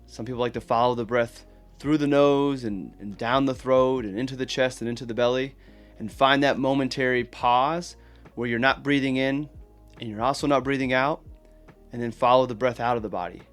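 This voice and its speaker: A man leading a guided meditation, giving gentle, plain-spoken, encouraging instruction with unhurried pauses. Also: mid-range voice, fairly even intonation, faint music behind.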